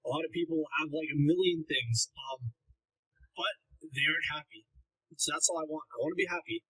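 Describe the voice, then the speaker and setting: Husky young man answering livestream chat. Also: a little high, normal speed, fairly bright tone, low-quality recording. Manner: blunt, rambling, heavy use of "like". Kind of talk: casual livestream Q&A.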